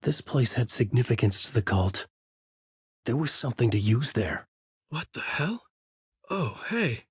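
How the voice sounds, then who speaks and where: An actor playing a haunted, brooding writer trapped in a nightmare, in an intense, dramatic horror game delivery with a husky edge. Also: male voice, mid-range voice, very animated, measured pace, long pauses, no room sound, clean studio sound, heavily acted. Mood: alarmed. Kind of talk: psychological horror game dialogue and brooding narration.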